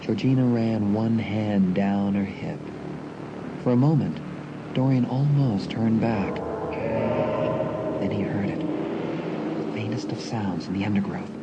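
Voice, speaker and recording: A man's voice: lowish voice, takes it slowly, pauses often. A man narrating a scripted suspense story for a dramatised audiobook. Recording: dull lo-fi sound, clear background noise.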